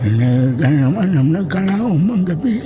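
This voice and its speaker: A woman's voice: low voice, expressive, measured pace, noisy background. A woman playing a worried middle-aged aunt waiting up for her niece in a horror audio drama. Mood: anxious. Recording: loud music behind the voice.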